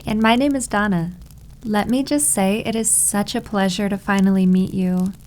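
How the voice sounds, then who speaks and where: Woman playing a yandere maid gf, medium pitch, cooing and teasing, possessive, asmr roleplay. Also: normal speed, darkish tone, lightly acted. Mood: playful, gleeful.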